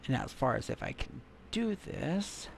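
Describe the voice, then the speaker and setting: A man's voice: a little high, very animated, unhurried, gravelly, a little hiss or hum. A man casually commenting while browsing articles and images online, musing and trailing off as he searches. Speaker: gaming content creator.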